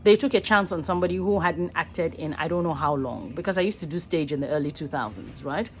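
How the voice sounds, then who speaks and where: A woman's voice: low-pitched, fast talker, slight background noise. A middle-aged Nigerian woman, an actor and voice artist, musing in a rambling, earnest selfie vlog from a small room, often stopping to rephrase herself. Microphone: phone at arm's length.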